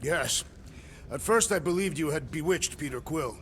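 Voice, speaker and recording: Proud alien warrior for a game, super literal n formal, highish voice, big reverb. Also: male voice, animated, normal speed, fairly bright tone, a little hiss or hum. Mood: earnest, proud. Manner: formal, stilted diction without contractions. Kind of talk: video game voice acting.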